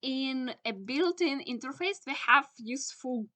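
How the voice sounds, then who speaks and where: A young woman with a Ukrainian accent, a native Ukrainian speaker, walking through a software tutorial in halting English full of "uh"s. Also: sits high, expressive. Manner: many filler "uh"s, halting non-native phrasing. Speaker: SEO instructor. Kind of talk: screen-share tutorial walkthrough.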